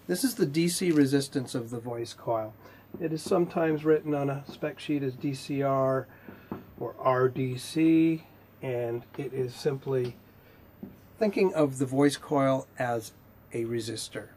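A man in his fifties giving a technical lecture in a measured, explanatory way with pauses mid-sentence, his voice slightly husky. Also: medium pitch, darkish tone, a little hiss or hum. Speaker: instructor, R&D manager. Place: indoor video studio with whiteboard.

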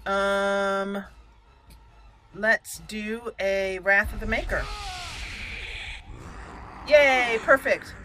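A woman's voice: mid-range voice, steady tone, very slow, long pauses, over music. A woman hosting a casual let's play, chatty and reactive, thinking aloud as she plays. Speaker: gaming channel host.

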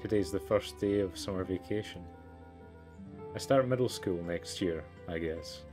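A man's voice: low-pitched, measured pace, over music. A man voicing a sad, earnest twelve-year-old deer boy in a storybook game. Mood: wistful, subdued.

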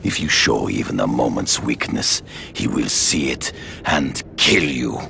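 A man voicing a proud, grim hunter villain in a video game, gravelly, formal and menacing. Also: a bass voice, a little slow, sharp tone, a little hiss or hum, full character voice. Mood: warning.

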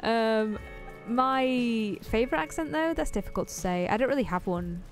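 A woman's voice: a little high, moderate pace, faint music behind. A woman from Yorkshire with a muted Yorkshire accent, co-hosting a casual, giggly chat over gameplay. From Yorkshire, England. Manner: giggly, easygoing. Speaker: co-host.

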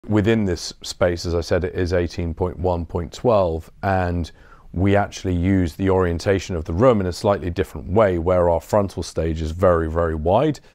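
Deep-voiced man giving a showroom tour. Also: steady tone, normal speed, darkish tone, dry recording. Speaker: business development director giving a showroom tour. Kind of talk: informal explanatory product presentation. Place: large acoustically treated showroom.

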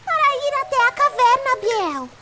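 A woman with a Brazilian accent voicing an eager, impressionable little girl owl in a children's cartoon, childlike and expressive. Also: very high-pitched, moderate pace, very noisy. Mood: delighted, earnest.

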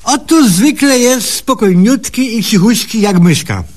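Simple-minded rustic patient for radio comedy: high voice, wildly animated, naive, trusting, folksy. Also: male voice, measured pace, exaggerated voice. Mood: puzzled, trusting. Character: simple, gullible, eager male country patient. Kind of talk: comic radio sketch acting.

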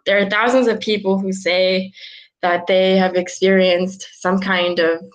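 A woman in her twenties, a guest on a video call from a small room at home, explaining ideas unhurriedly with frequent ums and soft restarts. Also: medium pitch, flat delivery, unhurried, rough recording. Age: 20s. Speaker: guest, philosopher. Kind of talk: casual video-call interview.

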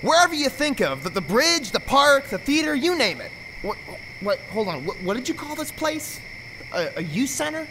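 A man's voice: extremely high pitch, very animated, normal speed, noisy background. A man with an American accent acting a defiant, sullen runaway teenage boy in an amateur radio drama, snappish and guarded. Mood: irritated, defiant.

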